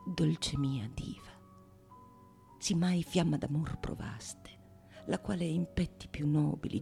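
A woman's voice: low voice, creaky, mellow, full character voice. A woman playing a devoted male suitor, pleading breathily and intensely in flowery theatrical language. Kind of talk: theatrical monologue of Renaissance comedy.

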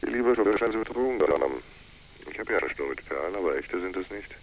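An actor playing a brisk, matter-of-fact investigator in a radio drama. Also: male voice, low-pitched, expressive, a little hiss or hum, poor audio. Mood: businesslike.

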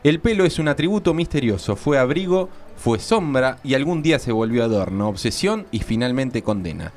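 A man's voice: medium pitch, animated, normal speed, a little mellow. A man with an Argentine accent co-hosting a radio show, reading theatre listings aloud with some casual banter. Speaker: radio co-host.

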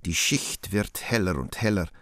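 A man reading stage directions as narrator of a radio play, in a measured, solemn way. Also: sits low, expressive, a bit bright, no room sound, studio quality.

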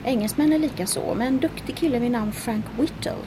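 A woman's voice: on the high side, background noise, lightly acted. An actress playing a knowing, enthusiastic young woman engineer in an audio drama. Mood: earnest. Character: clever young Swedish woman engineer, spirited.

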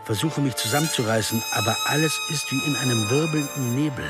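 An actor playing a troubled, driven man telling his own detective story in a radio drama. His mid-pitched voice is intimate and reflective, with prominent music underneath. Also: fast talker, close to an everyday voice. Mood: dazed, uneasy. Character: troubled male American amateur sleuth, introspective, driven, restless. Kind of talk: radio drama acting with first-person narration.

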